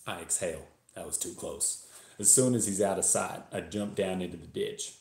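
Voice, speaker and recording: A man's voice: deep voice, steady tone, normal speed, creaky, a little mellow. A man voicing a tense, hard-boiled Texan narrator of a crime thriller, with an attempted Texas accent. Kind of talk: first-person audiobook-style thriller reading. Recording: roomy echo, live video stream.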